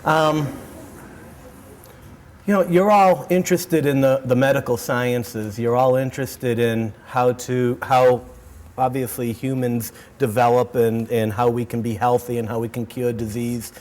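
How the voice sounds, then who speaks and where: A middle-aged man with a Boston accent, giving a keynote talk to students in an animated way, often repeating words and restarting sentences. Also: mid-range voice, measured pace. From Boston, United States. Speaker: keynote speaker. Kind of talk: energetic lecture to students. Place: auditorium.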